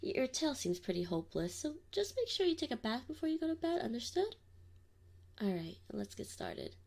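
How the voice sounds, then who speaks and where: Lady doing a wolf mom, husky whispery voice, slow and fussing, pitch bobs up and down a lot. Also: big pitch swings, exaggerated voice. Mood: fussing, fond. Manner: soft, slow, often whispered. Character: doting, gently teasing wolf mother. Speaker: ASMR roleplay performer. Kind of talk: ASMR roleplay. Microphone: binaural mic.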